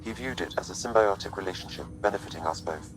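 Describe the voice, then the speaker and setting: A man voicing a calm, sentient AI companion in a video game, speaking formally and precisely. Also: low-pitched, quick pace, a little hiss or hum, lightly acted. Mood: measured, earnest. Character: calm sentient male artificial intelligence companion. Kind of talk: video game cutscene dialogue.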